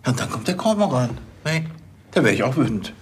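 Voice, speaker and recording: An actor playing a fussy, self-satisfied police officer, hesitant and pedantic, in a TV crime comedy. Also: male voice, mid-range voice, very animated, a little fast, slightly rough. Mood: smug. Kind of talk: television crime comedy acting.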